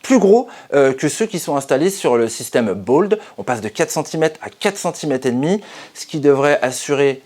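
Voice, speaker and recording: Higher-voiced Frenchman reviewing gear. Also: expressive, normal speed, darkish tone. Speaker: product reviewer and channel host. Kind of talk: casual product review.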